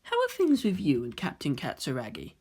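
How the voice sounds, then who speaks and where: A man voicing a smooth-talking, subtly menacing partner with a polite, insinuating manner in a fan fiction reading. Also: fairly high pitch, huge pitch swings, fairly quick, a little mellow. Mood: slyly reassuring.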